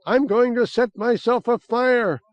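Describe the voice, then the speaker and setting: Guy voicing a wild manic monk dude, yells high and loud, dry sound. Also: animated, very slow, no echo, highs cut off, exaggerated voice. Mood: frantic. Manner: yelling, frantic. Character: excitable eccentric male monk, manic and wild.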